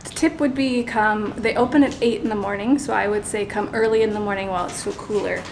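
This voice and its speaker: Woman in her 30s, easygoing vlog chat outside, mid voice, clear background noise. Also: medium pitch, fairly even intonation, fast. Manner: easygoing, upbeat, adds tips. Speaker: vlog co-host. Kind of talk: casual travel vlog chat. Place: outdoors in the desert. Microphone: camera held at arm's length by her partner.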